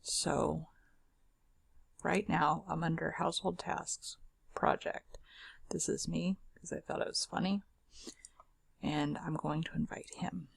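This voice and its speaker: Scratchy, gravelly woman demoing software. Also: low-pitched, fairly even intonation, slow pace, long pauses, dry recording. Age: adult. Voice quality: scratchy, husky voice. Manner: chatty and informal, with fillers and self-corrections. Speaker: screen-share software demonstrator. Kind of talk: casual screen recording walkthrough.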